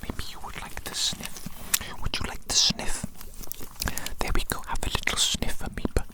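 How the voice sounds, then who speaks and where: An eccentric evil doctor, acted out by an ASMR performer, speaks entirely in a breathy whisper. The delivery dotes on a tiny specimen, murmuring and repeating phrases in a medical roleplay. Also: normal speed, close to an everyday voice, rough recording. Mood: curious, coaxing.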